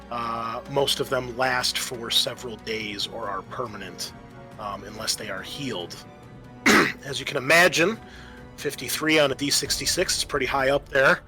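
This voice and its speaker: A man's voice: medium pitch, bright, forceful tone. A man acting as game master, methodically explaining tabletop game rules to his players. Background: music.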